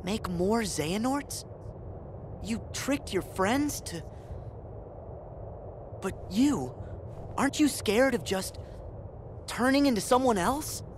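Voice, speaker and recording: A stubborn, openhearted teenage boy hero from a video game speaks with earnest feeling. His plainspoken delivery is halting, slow and very lively in pitch. Clear background ambience is heard. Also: a little hiss or hum. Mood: indignant.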